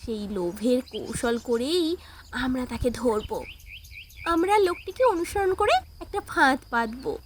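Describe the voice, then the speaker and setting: A woman's voice: sits high, expressive, unhurried, slight background noise. A woman voicing a brave, earnest village girl in a fairy-tale cartoon, reassuring and resolute. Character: brave, determined village girl who hunts a thief.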